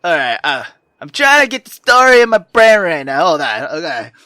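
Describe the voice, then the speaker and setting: A man's voice: on the high side, sing-song, normal speed, sharp tone, no echo. Man doing casual let's play, dry sound. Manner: informal, sweary, reacting aloud. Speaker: gaming video host. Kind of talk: casual let's play commentary and read-aloud narration.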